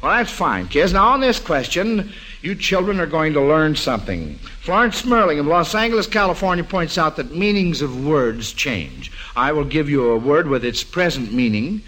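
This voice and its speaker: Higher-voiced American radio quiz show host, a man. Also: sing-song, normal speed, fairly bright tone, a little hiss or hum, low-quality recording. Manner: avuncular, addressing child contestants. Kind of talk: live 1940s radio quiz hosting. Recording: old 1940s radio broadcast.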